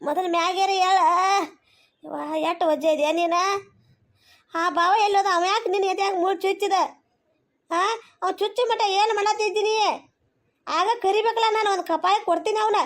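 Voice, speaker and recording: A woman's voice: extremely high pitch, fairly even intonation, brisk, pauses often. A woman voicing a blunt, baffled village mother-in-law in a cartoon comedy, with a North Karnataka accent, nagging with repeated questions. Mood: exasperated, baffled.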